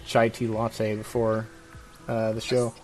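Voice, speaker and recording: A man's voice: fairly low pitch, completely flat delivery, takes it slowly, over music. A man co-hosting a podcast in casual, opinionated chat, speaking in a rambling, hesitant way full of filler "like"s. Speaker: podcast co-host.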